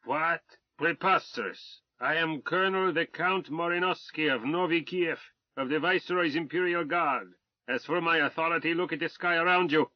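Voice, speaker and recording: Casting: man performing an arrogant older colonel, loud and high, barking orders, dull dry audio. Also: moderate pace, no room sound, close to an everyday voice. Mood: blustering. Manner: pompous, shouting commands. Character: blustering older male Russian colonel, arrogant, bullying.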